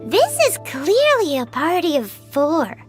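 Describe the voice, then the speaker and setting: A squeaky cheeky fairy. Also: female voice, takes it slowly, soft background music, full character voice. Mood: chatty. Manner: excitable, talks about herself in third person. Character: tiny floating fairy companion, chatty and cheeky. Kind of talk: video game voice acting.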